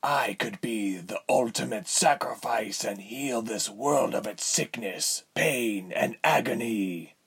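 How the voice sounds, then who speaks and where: Guy doing a slow creepy fantasy overlord, gravelly, condescending, kinda breathy. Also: medium pitch, fairly bright tone, heavily acted. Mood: persuasive. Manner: measured, silky, haughty. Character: smooth, condescending male villain lord with grand designs.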